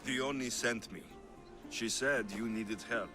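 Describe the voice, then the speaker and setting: A man's voice: mid-range voice, takes it slowly, lightly acted. A man playing a blunt, resolute mercenary bent on vengeance in a video game. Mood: matter-of-fact. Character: determined male mercenary seeking vengeance, blunt and steady. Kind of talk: scripted video game dialogue. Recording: loud music behind the voice.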